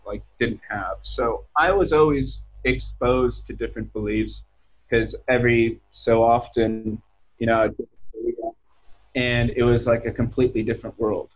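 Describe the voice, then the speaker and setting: Interview guest: American male in his thirties, raspy mid-pitched voice, conversational, dull lo-fi sound. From United States. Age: 30s. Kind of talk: casual conversational storytelling.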